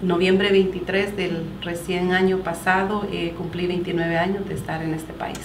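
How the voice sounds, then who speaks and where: Low flat-voiced Salvadoran woman. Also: normal speed, far from the mic. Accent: Salvadoran Spanish. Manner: earnest, quotes scripture. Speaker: guest. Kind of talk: personal testimony. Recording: echoey room with background noise.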